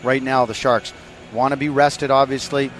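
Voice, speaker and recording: A man giving radio sports commentary in an energetic, conversational way. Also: mid-range voice, normal speed, a little hiss or hum. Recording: music bed under voice.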